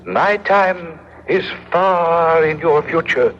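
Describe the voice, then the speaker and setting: A solemn being from a distant future in an old radio drama, with a fairly high voice. The diction is formal, stilted and exact, every phrase carefully measured. It comes through a muffled old broadcast recording with faint hiss. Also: male voice. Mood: solemn, explanatory. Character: solemn faceless mouthless man from the far future.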